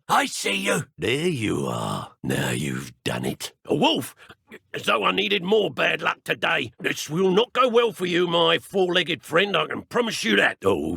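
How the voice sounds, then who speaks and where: A menacing British dark wizard poacher from a video game, taunting and sneering with theatrical flair. His pitch swings widely, with some gravel and a husky edge. The speech is colloquial and full of threat. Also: no room sound, clean studio sound, exaggerated voice. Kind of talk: video game character voice acting.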